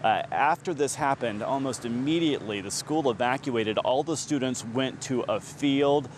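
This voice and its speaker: Male field reporter, American, mid pitch, live outdoor hit with faint traffic behind. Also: moderate pace. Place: outdoors on a street outside a school.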